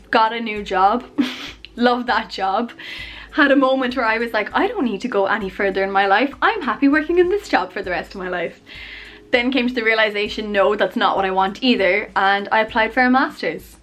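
A woman in her twenties rambling casually to camera in her bedroom, chatty and self-deprecating, her voice a little hoarse. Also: medium pitch, fairly bright tone. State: losing her voice, coughing. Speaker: YouTuber. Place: bedroom, indoors. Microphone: camera on tripod at arm's length.

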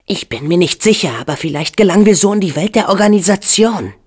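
A young man voicing an upbeat, brave and earnest teenage boy hero in a video game, with an expressive, youthful delivery. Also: high-pitched, fast, breathy edge. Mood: confident.

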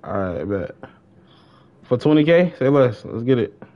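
A man's voice: medium pitch, big pitch swings, measured pace, slight background noise. Young American man, dull lo-fi stream audio. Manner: slangy, repeats short phrases. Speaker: live streamer playing an online roleplay shooter game. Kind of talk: casual live gameplay chat.

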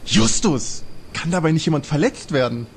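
A man playing an excitable, fretful teenage detective in an audio drama parody. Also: a little high, moderate pace, creaky, slight background noise. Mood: alarmed.

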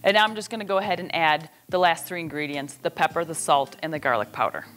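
Low-voiced middle-aged woman, cooking host. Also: fast, a bit bright, soft background music. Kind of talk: casual instructional cooking demonstration. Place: home kitchen, indoors.

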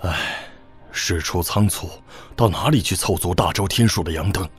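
An actor playing a brooding, cautious middle-aged clan patriarch in a wuxia audio drama, guarded in delivery. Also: male voice, deep voice, moderate pace, husky, faint music behind. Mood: worried.

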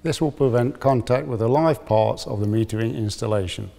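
A man's voice: mid-range voice, brisk, creaky, warm tone. A man giving scripted instructions in a training film, recorded in a reverberant space.

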